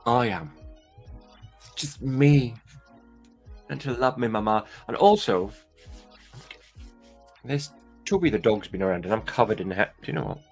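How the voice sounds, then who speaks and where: A man's voice: mid-range voice, animated, a little slow, long pauses, darkish tone, soft background music. A man with a light Scottish accent chatting casually to his viewers as a vlogger, rambling and hesitant with many restarts. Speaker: toy and claw machine vlogger.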